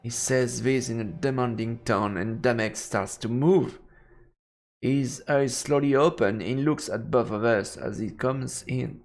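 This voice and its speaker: A man's voice: medium pitch, a little slow, soft background music. A man doing a let's play, reading game narration aloud and reacting casually as he goes.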